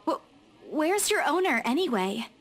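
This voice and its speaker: A woman's voice: high-pitched, very animated, a little slow, heavily acted. A woman playing a flustered, polite but defensive young woman in a visual novel, stammering and hesitant. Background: guitar music.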